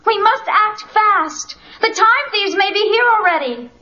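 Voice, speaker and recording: A woman's voice: extremely high pitch, expressive, measured pace, pushed and bright, heavily acted. A woman playing an earnest, urgent young time-police marshal in a comic-book audio drama, speaking dramatically and emphatically. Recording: dull cassette, music behind.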